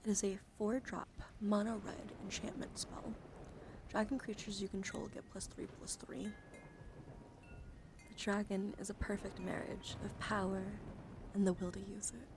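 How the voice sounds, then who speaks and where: A woman livestream host speaking softly and gently in an ASMR style, often slipping into whispers, close to the microphone. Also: medium pitch, level tone, normal speed. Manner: frequently drops into whispers. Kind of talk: soft-spoken ASMR card reading and casual stream chat. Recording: clear background ambient noise.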